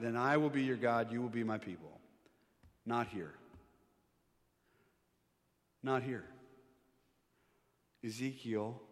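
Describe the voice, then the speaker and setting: A male pastor preaching a sermon, telling stories and building up to forceful emphasis. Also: medium pitch. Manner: animated preaching, rising and louder on emphatic points; sings hymn lines softly. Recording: long reverb of a large hall.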